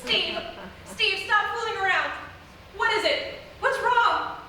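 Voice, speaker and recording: A woman's voice: very high voice, slow pace. A woman acting as an anxious, frightened sweetheart in a melodramatic live radio play. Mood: terrified. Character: frightened, anxious young wife or girlfriend. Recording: very echoey live theatre, far mic, loud noise.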